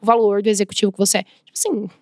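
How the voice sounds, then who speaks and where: A woman's voice: mid-range voice, little pitch movement, racing, no echo. A young Brazilian woman answers as a podcast guest in a confident, emphatic way. She slips into voices for the people she quotes. Her voice sits mid-range and sounds close and dry. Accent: Brazilian Portuguese. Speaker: podcast guest, diversity and inclusion expert. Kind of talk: conversational interview answer.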